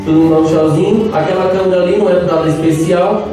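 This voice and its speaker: A man in his thirties with a Brazilian Portuguese accent, a lay preacher giving a lively live sermon and playfully calling out to the crowd. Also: high voice, fast talker. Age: 30s. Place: large echoey prayer-group hall, live event. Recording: very echoey hall, distant mic, music behind.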